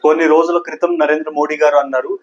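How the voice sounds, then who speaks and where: Higher-pitched man explaining news. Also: little pitch movement, quick pace, muffled recording. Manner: explanatory, mixes English words into speech. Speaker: host of a news explainer channel. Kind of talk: news commentary explainer to camera.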